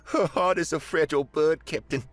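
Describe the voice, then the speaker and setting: A man voicing a gentle, sentimental rocky alien man, his voice thick with emotion. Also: a little high, normal speed, no echo, soft background music, voice pushed up, close to an everyday voice. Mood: tearful. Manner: choked with emotion.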